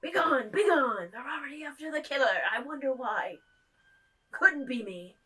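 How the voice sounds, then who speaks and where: A woman voicing a gleeful, scheming male devil in a put-on English accent, shouting theatrically and mocking. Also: sits high, animated, normal speed, pitched above the performer's own voice. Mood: smug. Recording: distant mic with piano music behind.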